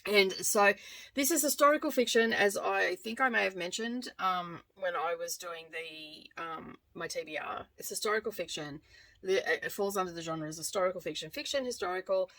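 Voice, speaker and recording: An Australian woman gives a casual book review in a fairly low voice. She speaks informally and rambles a little, circling back to correct herself. It sounds like a vlogger chatting to her viewers. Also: moderate pace, a bit bright, rough recording.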